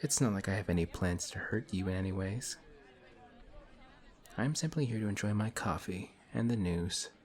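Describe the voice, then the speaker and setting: A man voicing a suave male villain who teases a hero in an audio roleplay, slow and taunting. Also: fairly low pitch, natural voice. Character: smug suave male villain, teasing and calm. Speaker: voice actor.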